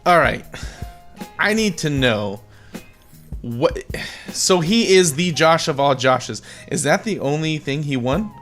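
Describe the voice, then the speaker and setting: A man's voice: fairly high pitch, animated, slow pace, a bit bright, soft background music. A man chatting casually as a podcast co-host. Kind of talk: casual chat.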